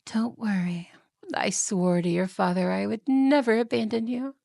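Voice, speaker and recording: A woman's voice: mid-range voice, steady tone, moderate pace, vocal fry, dark tone, no room sound, studio quality, close to an everyday voice. A woman playing a haughty, cruel evil queen and wicked stepmother, imperious, scolding and condescending, in a fantasy audio roleplay. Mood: condescending, falsely gentle. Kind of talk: fantasy ASMR roleplay acting.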